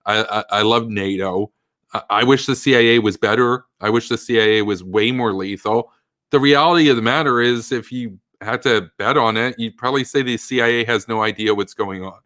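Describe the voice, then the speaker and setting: A man's voice: mid-range voice, fairly quick, a bit bright, no room sound, studio quality. A man hosting a political live stream, reading chat questions and answering in a blunt, rambling, emphatic way with many fillers. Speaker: political commentary host.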